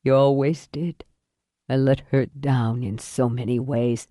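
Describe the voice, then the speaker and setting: A dying middle-aged father as a female narrator plays him, low and mellow, speaking weakly and haltingly. He is weary and regretful with a wry tough streak, in a dry audiobook recording. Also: fairly even intonation, dry recording. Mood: weary tenderness. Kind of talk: audiobook thriller character acting.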